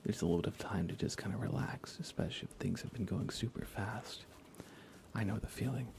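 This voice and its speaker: A man speaking softly and unhurriedly, often half-whispering, as he rambles through a live ASMR gaming stream. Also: fairly low pitch, brisk, gravelly, slight background noise. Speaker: livestream host. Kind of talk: soft-spoken ASMR game livestream chat.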